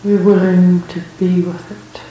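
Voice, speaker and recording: A woman leading a guided meditation as a teacher, speaking gently and unhurriedly in short phrases with long pauses. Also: fairly low pitch, little pitch movement. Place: reverberant indoor room at a retreat. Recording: very echoey room, faint background noise.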